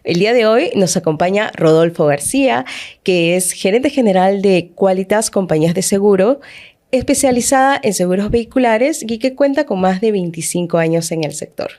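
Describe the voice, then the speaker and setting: A woman's voice: mid-range voice, animated, moderate pace, darkish tone. A woman hosting a podcast interview, talking conversationally and ending points with tag questions. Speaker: podcast host.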